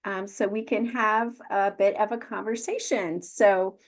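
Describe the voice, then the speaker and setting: A woman hosting an online webinar at an unhurried, slow pace. She reads out guest introductions, hesitating often with ums. Her voice sits at a middle pitch. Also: fairly even intonation. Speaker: webinar host. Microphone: video call.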